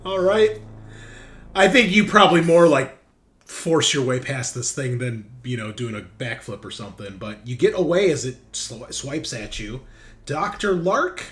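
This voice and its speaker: A man's voice: medium pitch, animated, measured pace, fairly bright tone. A man acting as game master in a tabletop roleplaying game, narrating action and explaining the rules. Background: background music.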